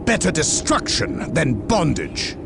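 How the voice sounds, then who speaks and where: A man's voice: fairly high pitch, wildly swooping pitch, unhurried, gravelly, full character voice. An actor playing a defiant, zealous space marine commander in a video game, declaiming righteous battle oratory to his brothers. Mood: scornful, defiant. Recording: voice under loud game music.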